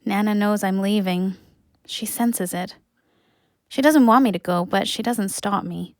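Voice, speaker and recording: A woman's voice: mid-range voice, a little slow, a little mellow, no echo, studio quality, natural voice. A woman playing an earnest, wistful young adult in an audio drama. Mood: confiding. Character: young Canadian, wistful, nature-loving, awkward and earnest. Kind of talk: audio drama narration and acting.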